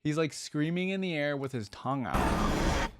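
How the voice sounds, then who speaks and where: A man giving casual, informal reaction commentary, thinking out loud and easily excited. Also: fairly high pitch, moderate pace, heavy background noise. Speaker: reactor and channel host. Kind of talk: reads story aloud dramatically.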